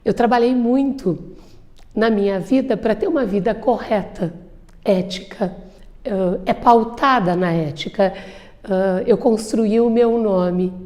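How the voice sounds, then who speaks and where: A woman's voice: mid-range voice, takes it slowly, darkish tone. A woman, a lawyer speaking earnestly in an interview. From southern Brazil. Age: 50s. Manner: earnest, emphatic. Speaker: lawyer and businesswoman. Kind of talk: interview answer.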